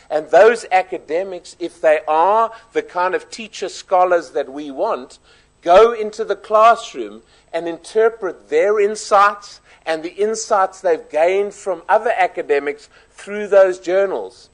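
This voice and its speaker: South African man, higher register, measured and emphatic formal speaker. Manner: measured, emphatic, lists points in order. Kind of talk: formal conference speech.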